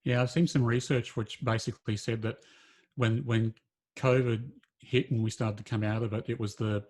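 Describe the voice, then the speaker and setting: A man's voice: medium pitch, flat delivery, moderate pace, darkish tone. A man co-hosting a casual interview podcast, agreeable, offering observations and follow-up questions. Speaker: podcast co-host.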